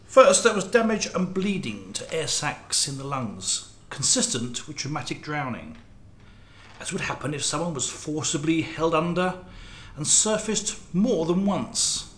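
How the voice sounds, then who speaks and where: A man playing a formal, measured chief coroner reporting findings in a radio drama. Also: on the high side, very animated, a bit bright. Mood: formal, grave. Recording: roomy, echoing sound.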